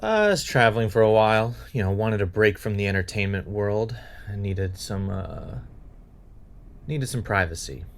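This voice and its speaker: A man's voice: on the low side, animated, normal speed, creaky. A man playing a guarded, wry podcaster and former reality contestant in a naturalistic audio drama. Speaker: podcast host and narrator.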